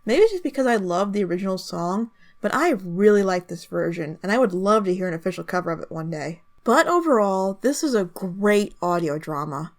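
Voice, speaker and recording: A woman's voice: mid-range voice, lively intonation, brisk, few pauses. A woman narrating a review in the style of an online video commentary.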